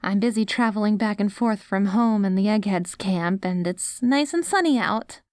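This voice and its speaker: A woman's voice: mid-range voice, moderate pace, a little mellow, no room sound, studio quality, natural voice. A woman playing a devoted, hopeful wife tenderly coaxing her zombie husband in an ASMR audio roleplay. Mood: tender, encouraging. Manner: tender, coaxing, speaks directly to a loved one.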